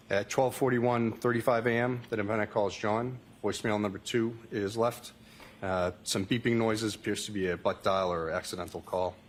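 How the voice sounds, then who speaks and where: A man with a fairly low voice testifies from a courtroom witness stand as a police witness. He reads out log entries in a matter-of-fact, repetitive way, with faint noise on the recording. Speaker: state trooper testifying as witness.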